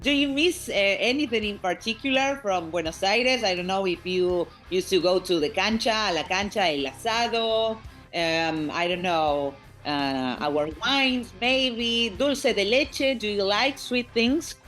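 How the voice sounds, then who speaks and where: A woman from Argentina with an Argentine accent, interviewing a guest in a friendly, soft-spoken and slightly hesitant way. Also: mid-range voice, a little slow, faint music behind. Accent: Argentine Spanish. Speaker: radio interviewer. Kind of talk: casual interview.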